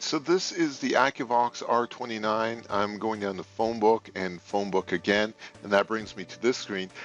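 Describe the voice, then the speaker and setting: Guy with a lowish voice doing a screen tutorial, plain and unscripted, bit of music behind him. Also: a bit bright, dull audio. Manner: plain, informal, instructional, thinking aloud. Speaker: software tutorial presenter for a telecom retailer.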